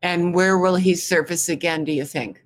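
A woman with a notably low voice hosts a sports interview. She speaks directly and probingly, in the manner of a seasoned questioner. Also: steady tone, normal speed. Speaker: interviewer, podcast host.

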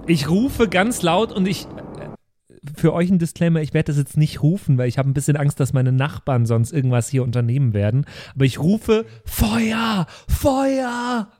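A man's voice: a little high, normal speed, dark tone. A man playing a role-playing game, bantering casually in a rambling, stubborn way.

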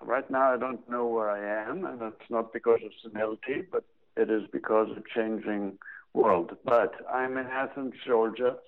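An older man with an Israeli accent, a psychology professor, answering reflectively with dry humor and a slow, soothing way of speaking, from his home workroom. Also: mid-range voice, steady tone. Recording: tinny phone-line audio.

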